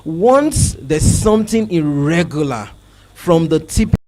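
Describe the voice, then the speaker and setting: A man in his thirties answering as a cybersecurity expert on a conference panel, halting with fillers and restarts, then more emphatic, into a handheld mic. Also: on the high side, animated, mellow. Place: conference hall on stage.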